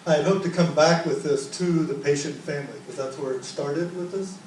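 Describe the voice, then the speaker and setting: A man's voice: fairly high pitch, brisk, a little mellow. A man presenting at a workshop, leading an open group discussion and often restarting his sentences. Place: conference room, echoey. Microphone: room mic from a distance. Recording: echoey room, distant mic, faint noise.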